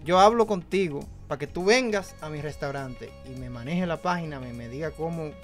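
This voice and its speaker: Podcast host, man, brisk questions, studio mic, light music bed. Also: a little high. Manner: quick questions, lots of short backchannel replies. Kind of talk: casual interview chat. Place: small studio with a patterned wall. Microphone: studio mic on a stand, headphones.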